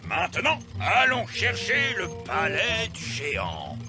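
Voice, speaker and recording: A jolly, boastful pirate captain elf for a cartoon. He speaks slowly and theatrically, with huge swoops in pitch, turning from bombast to wheedling, over clear background music. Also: huge pitch swings, full character voice. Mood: eager. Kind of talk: cartoon voice acting.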